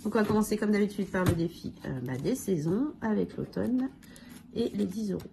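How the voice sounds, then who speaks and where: A woman with a cold and a hoarse voice, casually going through her budget challenges and counting money aloud with lots of fillers. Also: medium pitch, animated, a little fast, background noise. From France. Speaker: budget vlogger. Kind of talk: casual budget review talk.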